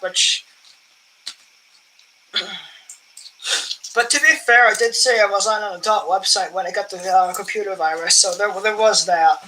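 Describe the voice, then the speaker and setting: A young man with a voice higher than most men's, talking in a loose, rambling way as he sorts coins. His tone is bright and forceful, and he often breaks off to clear his throat and laugh at himself. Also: slight background noise, rough recording. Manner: chatty, self-amused, often clears throat. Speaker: coin collecting channel host. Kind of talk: casual rambling vlog chat while sorting coins.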